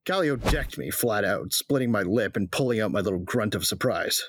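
A man's voice: on the low side, expressive, normal speed, a little hiss or hum, natural voice. A man narrating as a wry, laid-back male bounty hunter in an audio drama.

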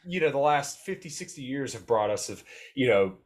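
A man's voice: medium pitch, animated, moderate pace. A young man in his twenties on a casual political podcast, earnest and opinionated, often restarting his sentences.

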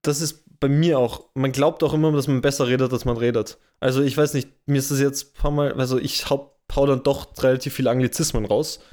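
A man's voice: mid-range voice, steady tone, fairly quick, few pauses, a little mellow. Young Austrian man, casual podcast chat. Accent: Austrian German. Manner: self-deprecating, rambling with restarts. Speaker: podcast co-host.

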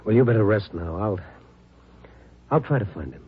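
An actor playing a world-weary, dogged American newspaper reporter, narrating and talking tersely in a 1950s radio drama. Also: male voice, fairly low pitch, expressive, normal speed, slightly rough. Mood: weary, reflective. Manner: terse, hard-boiled, conversational. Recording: tinny old radio broadcast, music underneath.

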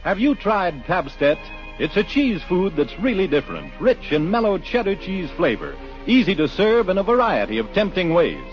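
American man, radio ad announcer, high animated pitch, 1940s style, faint music, muffled recording. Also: normal speed. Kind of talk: 1940s scripted radio commercial.

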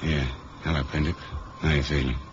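An actor playing a tough, wry British spy in a 1970s radio drama, speaking in a laconic, colloquial way. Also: male voice, a bass voice, flat delivery, brisk. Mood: grim, subdued. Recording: dull, noisy old radio recording.

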